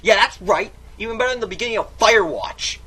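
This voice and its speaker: A man's voice: sits high, expressive, quick pace. Male amateur narrator, mock-dramatic storyteller who keeps cutting in on himself, noisy home recording. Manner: theatrical, comically self-interrupting. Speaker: reader and player of a text game. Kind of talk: mock-dramatic storybook reading with casual asides.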